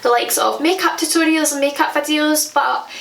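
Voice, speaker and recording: A woman's voice: high voice, moderate pace, vocal fry, a bit bright, echoey room, far from the mic. A Scottish woman in her twenties chatting casually to camera as a makeup vlogger at home, joking about her own slips. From Scotland. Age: 20s. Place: at home, indoors.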